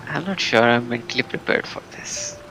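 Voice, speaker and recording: A man giving casual live gameplay commentary, halting and musing aloud as he streams. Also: mid-range voice, creaky, sharp tone, low-quality recording. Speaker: game streamer. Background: game music.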